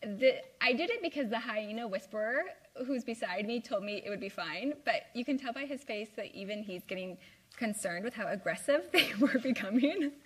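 A woman's voice: a little high, expressive, moderate pace, a bit bright, slightly rough. A young woman from the American Midwest giving an informal live talk to a small university group, chatty with plenty of "like" and "um". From Midwest US (Indiana). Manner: chatty, lots of "like" and "um". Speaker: guest speaker. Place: university lecture room.

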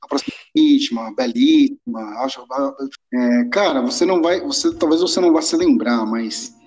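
Animated rambling podcast host. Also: male voice, on the high side, normal speed. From São Paulo, Brazil. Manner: animated, rambling, swears freely. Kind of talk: casual chat.

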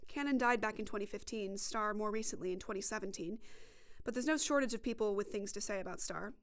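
A woman's voice: medium pitch, fast. A woman reporter reading scripted narration for a podcast documentary.

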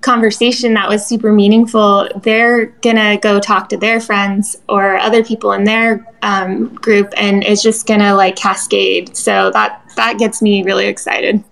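A woman in a live audio chat, talking with enthusiasm in loose, run-on phrases. Her voice is gravelly and stays quite flat in pitch. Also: measured pace, hardly any pauses, low-quality recording.

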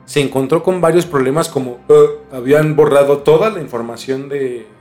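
A man's voice: medium pitch, fairly quick, a little mellow, soft background music. A young Mexican man telling a story as a podcast host in a casual, slangy conversational way. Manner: informal, slangy.

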